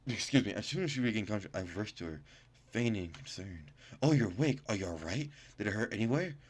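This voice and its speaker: A man's voice: lowish voice, expressive, quick pace. A man hosting a gaming video, reading game text aloud, stumbling over words and breaking off into profane, incredulous commentary. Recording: music behind the voice.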